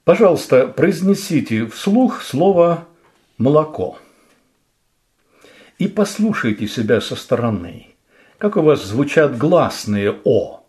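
A man teaching pronunciation in a scripted lesson, speaking slowly and deliberately and stressing vowels for demonstration. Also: mid-range voice, animated, a little mellow. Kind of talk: scripted instructional narration.

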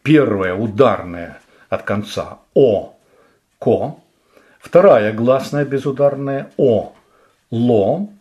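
A man teaching pronunciation in a scripted lesson, speaking slowly and deliberately and stressing vowels for demonstration. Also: mid-range voice, lively intonation, darkish tone. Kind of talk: scripted instructional narration.

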